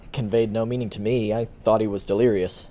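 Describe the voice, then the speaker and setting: A man playing a guarded, earnest young son giving formal, halting testimony in an amateur audio drama. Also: fairly low pitch, fairly even intonation, warm tone, close to an everyday voice.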